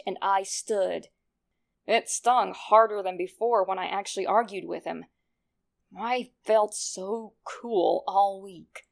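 A woman voicing a smug, cold young male killer reading out his horror journal in a sinister, drawn-out way. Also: mid-range voice, gravelly. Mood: gloating, intense. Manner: sinister, self-satisfied, drawn-out delivery. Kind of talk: horror story journal read aloud.

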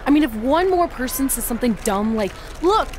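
A grumpy, sarcastic ex-wrestler. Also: female voice, high-pitched, animated, brisk, a little mellow, a little hiss or hum. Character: cranky, blunt young female ex-wrestler.

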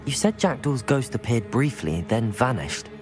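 A man's voice: medium pitch, very animated, moderate pace, close to an everyday voice. Man playing an earnest young wizard student. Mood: earnest, calm. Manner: polite, plain-spoken, earnest. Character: earnest, polite teenage boy wizard student. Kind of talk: video game character acting. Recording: music behind.

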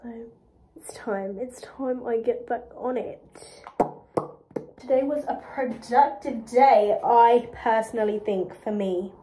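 A young woman talks to a propped-up phone in a casual vlog, rambling and poking fun at herself. Her voice sits a little high, and the small room around her sounds echoey, with faint room hum behind her. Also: slow pace, mellow. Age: young adult. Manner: chatty, self-deprecating, rambling. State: out of breath, blocked nose. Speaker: vlogger. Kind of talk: casual vlog talk to camera. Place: small kitchen and bare room indoors. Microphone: phone camera propped nearby.

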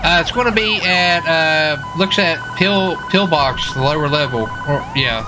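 A man role-playing a police officer in a casual, improvised game, talking in a folksy, excitable way and often repeating himself. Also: on the high side, a little slow, music in the background, poor audio. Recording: faint background noise, game sirens. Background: game sirens and vehicle sounds.

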